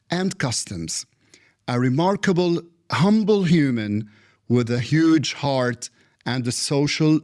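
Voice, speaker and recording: Slow, deliberate man hosting. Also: mid-range voice. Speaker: podcast host. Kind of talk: scripted introduction then interview questions.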